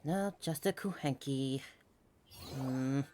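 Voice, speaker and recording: A woman's voice: very deep voice, slow, warm tone, slight background noise. A woman game streamer giving casual playthrough commentary, musing and humming to herself. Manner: musing, hums to self. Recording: game music behind.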